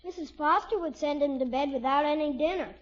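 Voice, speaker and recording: A woman's voice: high-pitched, fairly quick, natural voice. A woman playing a chirpy, blunt, innocent little American boy in a 1950s radio comedy. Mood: innocently blunt. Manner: frank, childlike. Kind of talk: 1950s radio sitcom acting. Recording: muffled, very noisy old radio recording.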